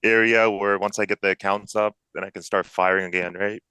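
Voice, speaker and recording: A man in his early thirties with a Canadian accent talks casually as a guest. His voice is deep and forward with a clean, smooth edge, recorded dry and close. His phrasing is slangy and informal. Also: fairly even intonation, fairly quick, sharp tone, dry recording. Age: early 30s. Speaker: podcast guest, sports bettor and accountant. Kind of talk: casual interview chat.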